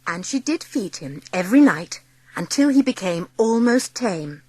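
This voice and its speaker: A woman narrating a dramatised children's story as an expressive audiobook storyteller. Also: mid-range voice, moderate pace, husky, no echo.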